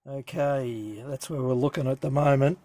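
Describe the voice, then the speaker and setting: A man with a medium-pitched voice narrates a casual, hands-on hobby demonstration. He talks in a halting way, thinking aloud as he goes. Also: mellow, no room sound. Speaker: hobbyist radio presenter.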